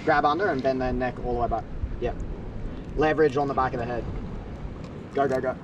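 A man's voice: mid-range voice, steady tone, fairly quick, dull audio. A man, a fishing companion on a rocky shore, laid-back, cheering and giving advice. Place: outdoors on rocky shore by the sea. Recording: distant outdoor camera, noisy waves.

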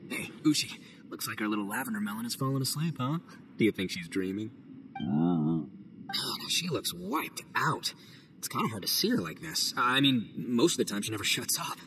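A man's voice: fairly low pitch, very animated, normal speed, fairly bright tone, a little hiss or hum. An actor voicing a brash, boisterous young man gang leader, talking in a loose, slangy way. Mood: concerned, teasing. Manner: loose, slangy, big-hearted. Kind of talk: game cutscene voice acting.

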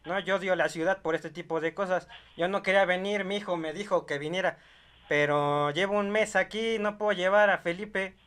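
A man's voice: high voice, steady tone, normal speed, fairly bright tone. A young man playing an insistent, grumbling older rancher in a prank call, pleading in a hesitant, folksy, repetitive way. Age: young adult. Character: insistent rural rancher, older man, stubborn, grumbling, attached to his donkey. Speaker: prank caller. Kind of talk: improvised prank phone call. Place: small bedroom studio, indoors.

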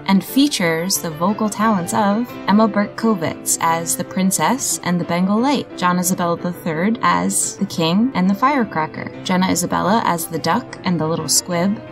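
A woman reading scripted closing credits as an announcer. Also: medium pitch. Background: music under the voice.

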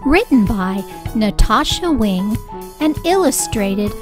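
A woman reading a children's story aloud with deliberate, expressive phrasing. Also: on the high side, music in the background. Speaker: storybook reader. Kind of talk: children's read-aloud narration.

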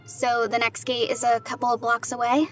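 A woman's voice: a little high, completely flat delivery, normal speed, a bit bright, natural voice. An actress playing a nervous young woman in a horror audio drama, a loyal friend who talks in questions. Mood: anxious resolve.

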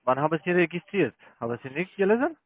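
A man who is not a native German speaker, with a foreign accent, making a persistent sales pitch as a call-centre caller. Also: sing-song, fast, dry recording. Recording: narrow tinny phone line.

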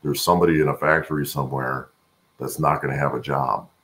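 Male interview guest, middle-aged, extremely low gravelly voice, conversational and explanatory. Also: fairly even intonation, fast talker, fairly bright tone, a little hiss or hum. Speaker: guest, supply chain expert. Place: home room, on a video call.

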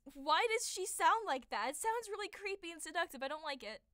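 A woman's voice: very high-pitched, sing-song, brisk, a bit bright, no room sound, studio quality. A woman voice actor chatting casually in outtakes from a recording session. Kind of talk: casual blooper chat.

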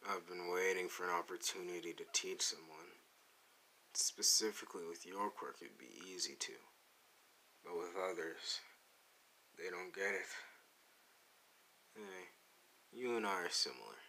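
Brooding young male villain for anime ASMR roleplay, played by a man: very low, gritty with fry, menacing, deadpan, grudgingly fond. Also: a little hiss or hum, full character voice, poor audio. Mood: cool, persuasive. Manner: deadpan, slow, irritable, teasing threats. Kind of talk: ASMR anime audio roleplay, second-person.

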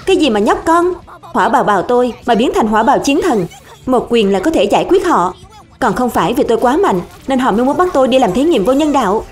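High, boastful little dragon spirit played by a woman. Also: lively intonation, fast talker, soft background music, lightly acted. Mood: boastful, indignant. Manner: boastful, indignant, emphatic. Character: small boastful, cunning young dragon spirit. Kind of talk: animated cartoon dub.

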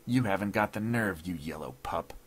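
A man's voice: lowish voice, fairly bright tone. Man acting a grave, proud, desperate judge. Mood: sullen anger. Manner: formal, deliberate, earnest speech.

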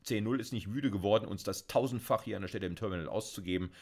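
Man, middle-aged, from Germany, deep voice, casual lecture, live coding, fillers, home office, close mic. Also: fast. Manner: conversational, explains while typing, many fillers. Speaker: university lecturer.

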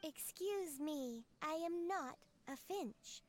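A woman's voice: high-pitched, expressive, takes it slowly. A woman voicing a plump, dignified bird spirit butler in a video game, with formal, prim phrasing. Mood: prim, flustered. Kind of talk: scripted video game dialogue acting.

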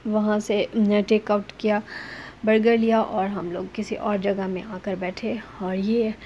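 A woman narrating a casual family vlog as a mother, conversational and hesitant with drawn-out pauses. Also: mid-range voice, level tone, warm tone, highs cut off. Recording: noisy ambient background behind voice.

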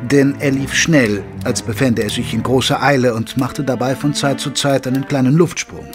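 A male actor plays a gentlemanly companion to a detective, telling the story of an audio drama. His mid-pitched voice moves in measured, literary phrases. Music plays behind him. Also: fairly even intonation, lightly acted. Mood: measured storytelling. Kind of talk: scripted narration in an audio drama.

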